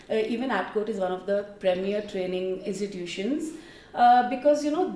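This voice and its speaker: A woman's voice: medium pitch, a little mellow. A middle-aged woman with an Indian accent giving explanatory, conversational interview answers, peppered with "you know" asides. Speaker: interview guest, former military air traffic controller. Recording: roomy echo, distant microphone.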